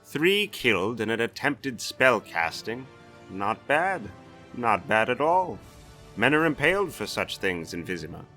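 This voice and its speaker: A man's voice: medium pitch, big pitch swings, unhurried, bright, forceful tone, soft background music, voice pushed up. A man voicing a blustering, sardonic, authoritative castellan in a fantasy audiobook. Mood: sardonic, stern. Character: blustering, weary-wry male castellan of a fantasy city.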